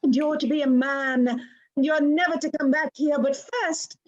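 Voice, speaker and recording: A woman's voice: sits high, fairly even intonation, moderate pace, darkish tone, muffled recording, lightly acted. An actress playing a sweet, earnest, affectionate little girl in a radio play, tender, eager and polite. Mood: eager, loving. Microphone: video call.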